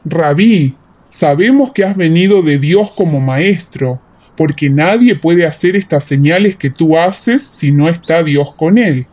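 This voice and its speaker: A woman playing a questioning male Judean elder with a measured, puzzled delivery. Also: deep voice, animated, a little mellow, pitched below the performer's own voice. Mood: earnest, puzzled.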